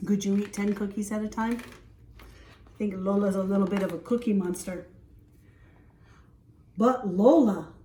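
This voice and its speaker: A woman's voice: medium pitch, steady tone, fairly quick, warm tone, a little hiss or hum. A woman teacher reading a picture book aloud, chatting conversationally with young listeners. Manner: conversational, addresses child listeners. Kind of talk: picture-book storytelling with asides. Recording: roomy echo, distant from mic.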